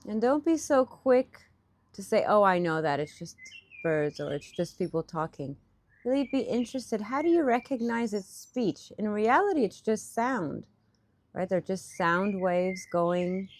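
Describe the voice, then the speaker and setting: A woman leading a guided meditation as a teacher, with gentle, pausing phrasing, speaking outdoors. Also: fairly low pitch, a little hiss or hum. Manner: unhurried, gentle phrasing with pauses. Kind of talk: guided meditation, softly instructive and partly improvised. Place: outdoors in a park. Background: birdsong.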